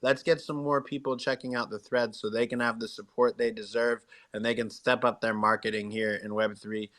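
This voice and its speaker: A man's voice: medium pitch, level tone, fairly quick, fairly bright tone. A man hosting a casual live online community talk in an encouraging, slangy, upbeat way. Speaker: host. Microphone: live online audio room.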